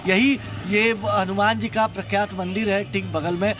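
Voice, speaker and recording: A male field reporter delivers a live news report from an outdoor street. His voice is high for a man, with music clearly playing behind him. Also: steady tone, moderate pace. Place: outdoor street outside a temple.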